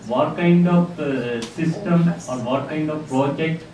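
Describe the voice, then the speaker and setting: A man from India asks a plain, direct question at a workshop. He is recorded from far away in a very echoey room with clear background noise. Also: on the high side, slow, warm tone. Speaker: workshop participant. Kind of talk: asking a question in a discussion.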